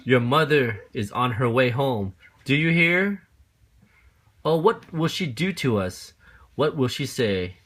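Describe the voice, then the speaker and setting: A man's voice: mid-range voice, lively intonation, slow pace, a bit bright. A man voicing an anxious little pet fish, fretful, insistent and scolding, in a children's picture-book read-aloud. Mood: panicked.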